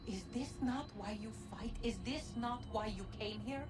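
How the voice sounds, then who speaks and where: A woman playing an ancient godlike being in a video game, speaking in a solemn, formal, measured and regretful way. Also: on the high side, music in the background, natural voice. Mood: urgent, pleading. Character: ancient female godlike precursor, grave and regretful. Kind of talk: dramatic video game cutscene acting.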